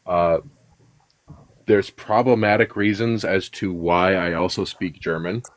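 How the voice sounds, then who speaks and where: Guy w chicago accent, first language russian, low-ish voice, dry close sound, rambles with lots of ums. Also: steady tone, unhurried, no echo. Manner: rambling storyteller, many fillers and restarts. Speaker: guest. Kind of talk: casual conversation.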